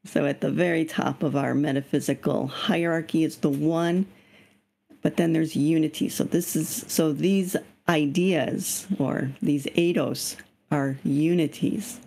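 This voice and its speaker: A woman's voice: sits low, fairly even intonation, a little slow, vocal fry, warm tone. A woman leading an online reading group on a video call. Her voice is slightly scratchy, and she explains patiently and keeps asking the group questions. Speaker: study group leader.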